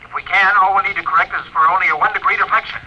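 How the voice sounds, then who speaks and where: An actor playing a confident, keen young navigation officer, crisp and dutiful, in a classic radio drama. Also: male voice, sits high, steady tone, racing, pushed and bright, poor audio. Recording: old radio recording, slight noise.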